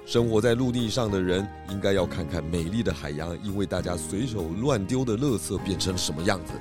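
A man playing a calm, righteous detective chief in a children's radio drama, speaking in a measured, explanatory way. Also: sits low, over music, close to an everyday voice. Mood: earnest advice. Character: calm, righteous male detective chief giving verdicts and advice.

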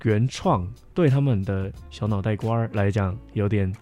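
A man's voice: lowish voice, moderate pace, dark tone, soft background music. A young man with a Taiwanese Mandarin accent co-hosts a casual podcast, explaining and chatting. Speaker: podcast co-host and camp counselor.

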